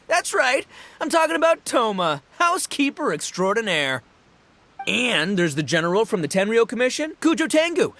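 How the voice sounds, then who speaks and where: A man's voice: high voice, bright, forceful tone, full character voice. A man voicing a brash, boastful young gang boss and rocker in a video game, with cocky, boisterous, slangy delivery. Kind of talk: animated video game voice acting.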